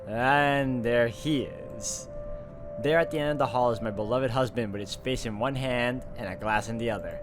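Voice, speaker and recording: Expressive male gamer narrating over clear music. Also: medium pitch, moderate pace. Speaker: gameplay video host. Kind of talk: read-aloud visual novel narration with asides.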